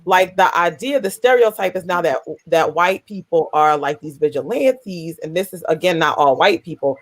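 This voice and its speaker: Woman panelist, deep voice, candid and cutting, dry sound, video call. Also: animated, normal speed, no echo. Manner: candid, blunt, salty humor. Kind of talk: casual discussion.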